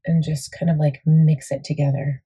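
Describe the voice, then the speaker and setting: Beauty vlogger, female, deep and husky, warm mellow tone, chatty and meandering. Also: level tone, normal speed. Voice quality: gravelly, deep. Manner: chatty, rambling, many fillers. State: morning voice, a bit crackly. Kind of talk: casual makeup tutorial chat.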